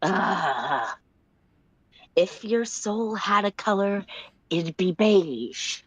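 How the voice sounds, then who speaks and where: A woman voicing a power-hungry living crown that invades minds, speaking in a disdainful, hissing, drawn-out way. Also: mid-range voice, animated, breathy edge, dry recording, exaggerated voice. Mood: disgusted, weary.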